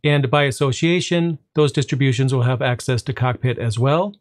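A man narrating a screen-recorded walkthrough of a server tool, speaking at a middle pitch. He explains each step in an easygoing way and likes a little pause before the payoff. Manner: relaxed explanatory, drawn-out "well" before punchlines. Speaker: tech tutorial host.

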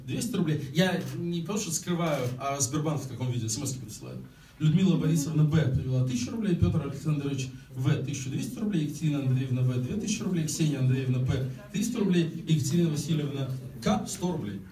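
A man, a campaign organizer, addressing a public meeting in a brisk, joking manner. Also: on the high side, expressive, mellow. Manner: brisk, joking, reading out donations. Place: crowded meeting room indoors. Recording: echoey room, mic far off, faint noise.

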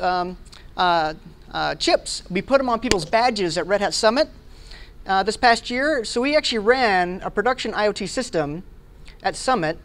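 A man's voice: high voice, fairly bright tone. A man in his forties with an American accent gives an informal tech demo, explaining conversationally and often checking in with his listeners. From Hawaii and Alabama, United States. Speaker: conference speaker, software product manager. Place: indoor meeting room, presenting to a group.

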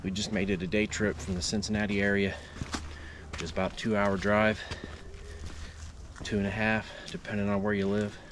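A man's voice: lowish voice, level tone, moderate pace, a bit bright, slight background noise. A man narrating a travel vlog in a casual, easygoing, conversational way.

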